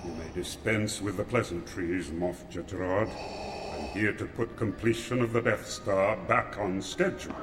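An actor playing a cold armored dark lord in a radio drama, deep and booming, speaking in a measured, formal, threatening way. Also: male voice, fairly even intonation, background noise, close to an everyday voice. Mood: cold menace. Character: menacing armored dark lord, cold, commanding, half machine. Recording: long hall reverb, orchestral music underneath.

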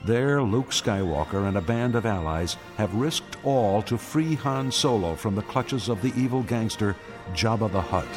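A man narrating a radio drama in a dramatic, grave, portentous and measured way. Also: on the low side, animated, over music. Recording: orchestral score behind the voice.

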